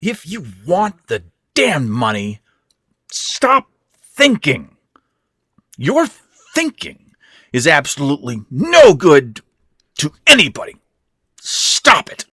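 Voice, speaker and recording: A man acts out a sick, worn-out old tyrant from a horror story, husky and dry, swinging from hisses to growls. He is irritable and commanding, leaning hard on his words with wide, surging pitch. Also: huge pitch swings, slow pace, long pauses, sharp tone, no room sound, heavily acted. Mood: furious, exasperated. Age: older. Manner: hissing, growling, emphatic, commanding. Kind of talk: dramatic horror fiction reading.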